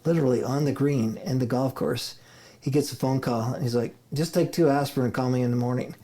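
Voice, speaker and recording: Mellow-voiced middle-aged man telling stories. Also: mid-range voice, fast talker. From Idaho, United States. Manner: rambling storyteller, chuckles often, restarts sentences. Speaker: interview guest, attorney. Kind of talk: casual conversational interview.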